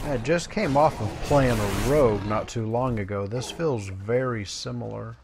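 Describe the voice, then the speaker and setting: A man's voice: medium pitch, normal speed, over music. A man giving casual commentary as he plays a game, muttering playful asides. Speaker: gamer narrating his playthrough. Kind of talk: casual gameplay commentary, reading quest text aloud.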